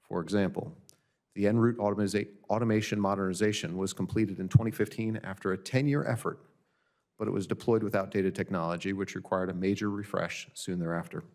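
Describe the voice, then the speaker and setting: A man with a low-pitched voice reads a prepared statement at an official hearing. He speaks as a government audit director giving testimony, keeping a formal, measured and matter-of-fact delivery. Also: steady tone, a little mellow. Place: legislative hearing room.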